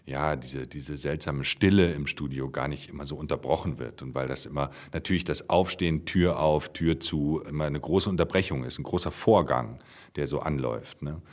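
A man's voice: a bass voice, moderate pace. A man, an actor being interviewed, talking reflectively and searching for his phrasing.

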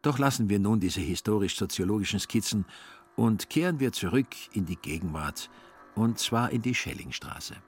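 A man narrating a literary radio play in a measured, dryly ironic way. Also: fairly low pitch, animated, soft background music.

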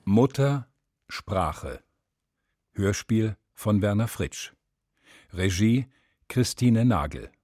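Male announcer for radio play credits, measured and unhurried, fairly deep, dry studio sound. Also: animated, darkish tone, no room sound. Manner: measured, deliberate.

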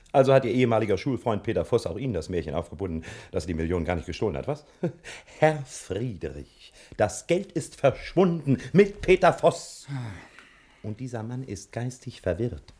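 An actor playing a boastful, slyly condescending private detective in a theatrical mid-century radio drama style. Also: male voice, fairly low pitch, big pitch swings, normal speed, warm tone. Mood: smug, probing. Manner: pompous, theatrical, chuckling, talks of himself in third person.

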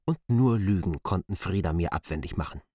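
Casting: domineering former master, lowish voice, very animated delivery, formal and menacing, radio drama. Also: male voice, brisk, slightly rough, no room sound, studio quality. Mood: coldly threatening. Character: proud, domineering, dismissed master threatening his former servant. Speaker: lead actor. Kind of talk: literary radio drama acting.

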